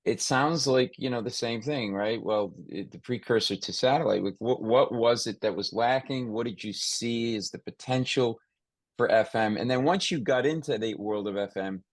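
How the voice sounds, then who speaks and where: A man's voice: medium pitch, moderate pace, no room sound. A man hosting an interview in a casual, conversational way, rambling and thinking aloud as he goes. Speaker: podcast host interviewing a guest.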